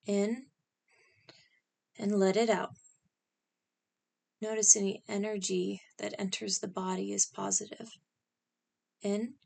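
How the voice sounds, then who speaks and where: A woman leading a scripted guided meditation in a calm, instructional manner, speaking in short, spaced phrases. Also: medium pitch, gravelly.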